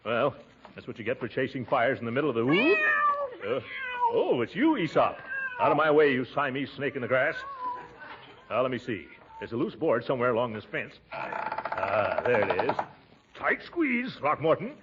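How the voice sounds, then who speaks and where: An actor playing a pompous, flustered middle-aged uncle in a blustering, chuckling 1940s radio comedy style. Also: male voice, medium pitch, big pitch swings, normal speed, dull audio, lightly acted. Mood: grumbling. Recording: noisy, band-limited old radio recording.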